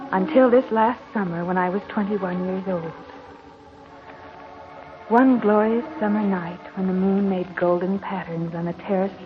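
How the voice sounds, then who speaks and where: A woman's voice: medium pitch, natural voice. An actress playing a sheltered, wistful young heiress who tells her story formally in a 1940s radio drama style. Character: sheltered, wistful 21-year-old heiress, genteel and melancholy. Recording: muffled tinny 1940s radio recording.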